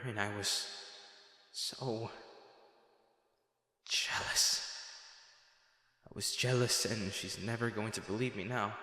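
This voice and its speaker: A man playing a devoted, remorseful bodyguard to a noble lady in a fantasy audio drama, speaking in a formal, halting, earnest way. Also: fairly low pitch, pushed and bright, heavily acted. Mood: anguished, self-reproachful.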